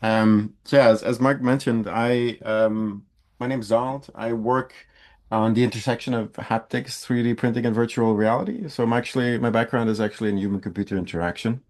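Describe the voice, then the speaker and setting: A man's voice: mid-range voice, steady tone, brisk, a little mellow. A man from Belgium giving a university lecture in a loose, conversational way, with frequent fillers and restarts.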